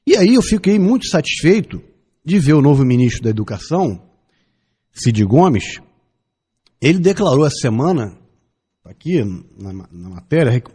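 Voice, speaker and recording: A man's voice: fairly high pitch, dark tone, breathy edge. A man in his fifties from Brazil, giving an emphatic opinion commentary on the radio, driving his points home with repetition and rhetorical questions. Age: 50s. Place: radio studio.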